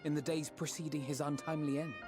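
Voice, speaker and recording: Man playing a grave, measured scholar. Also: fairly high pitch, little pitch movement, over music, close to an everyday voice. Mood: grave, pondering. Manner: measured, deliberate, formal. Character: grave, analytical male scholar. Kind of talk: fantasy game voice acting.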